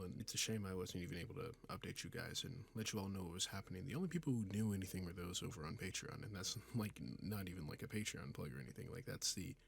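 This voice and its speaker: Guy w low husky voice, bit of fry, chatting earnestly to his listeners, casual and rambly. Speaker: audio roleplay creator.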